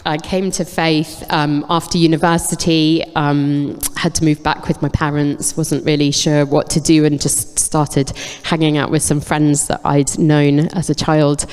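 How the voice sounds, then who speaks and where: A woman's voice: low voice, little pitch movement, measured pace, few pauses, a little mellow, clean tone. A woman in her forties from England, giving a candid, reflective faith testimony on stage with frequent ums. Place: church hall. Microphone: handheld mic, cutting in and out. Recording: echoey church hall with long reverb.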